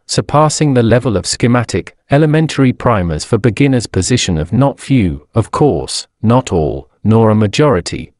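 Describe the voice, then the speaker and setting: A man narrating a scripted history lecture in a measured, formal manner. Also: on the low side, darkish tone, no room sound, clean studio sound.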